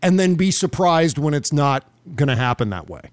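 Male American political podcaster, a touch high in pitch, conversational and emphatic, recorded very dry. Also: big pitch swings, normal speed, no echo. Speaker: podcast host. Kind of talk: casual political commentary.

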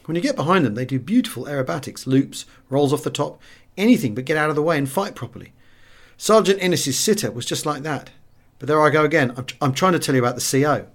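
A man's voice: a little high, expressive, fast. Guy doing a chatty british raf pilot, breezy slang, rambling storyteller in a war audiobook. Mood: chatty, bemused.

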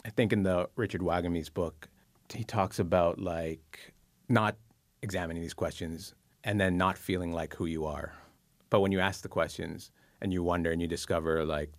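A man, a book columnist and psychotherapist, talks through his thoughts in a reflective interview, musing with pauses and fillers. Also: low-pitched, dry recording.

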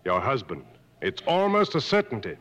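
An actor playing a seasoned, take-charge safari guide, matter-of-fact, in 1950s radio drama style. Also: male voice, mid-range voice, wildly swooping pitch, moderate pace, fairly bright tone. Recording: muffled old 1950s radio broadcast.

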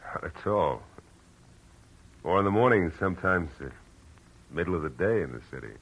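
An actor playing a hard-boiled, world-weary but compassionate private detective in 1940s radio drama style. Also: male voice, low voice, lively intonation, moderate pace, fairly bright tone, husky, heavily acted, low-quality recording. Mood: gentle, consoling. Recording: old radio recording with music.

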